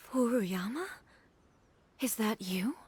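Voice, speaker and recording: A woman playing a dignified, gracious shogun in a video game, speaking formally and with composure. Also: fairly high pitch. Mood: startled, concerned. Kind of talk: scripted video game voice acting.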